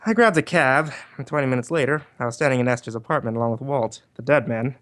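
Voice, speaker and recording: A man's voice: medium pitch, fast, a bit bright, close to an everyday voice. Man playing a wry, laid-back private eye. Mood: narrating, wry. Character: wisecracking, flirtatious male private detective, ex-cop. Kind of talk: 1940s detective radio drama. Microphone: livestream performance.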